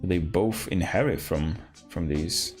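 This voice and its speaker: A man hosting a live coding stream, talking casually and haltingly as he thinks aloud and asks himself questions. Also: sits low, faint music behind. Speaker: livestream host, programmer.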